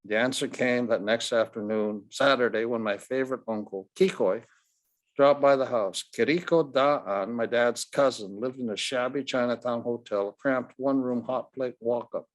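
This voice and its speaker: A man, an author, reads his short story expressively, with deliberate, dramatic phrasing and strong emphasis. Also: medium pitch. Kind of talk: expressive literary reading.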